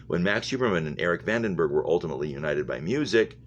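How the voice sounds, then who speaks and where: A man with a low, deep voice reads a novel aloud. He trips on words here and there and repeats phrases to get them right. The recording is dull and lo-fi, with a noticeable hum behind him. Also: lively intonation, quick pace. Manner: stumbles over words and rereads phrases. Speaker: reader, English teacher. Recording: muffled lo-fi audio with humming noise.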